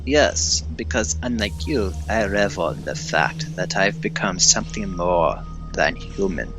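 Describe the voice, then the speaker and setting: An actor playing a smug, theatrical villain with a condescending, mock-courteous manner, in a comic audio drama. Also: male voice, sits low, sing-song, unhurried, music in the background, full character voice, rough recording. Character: smug, theatrical Soviet villain who is more than human.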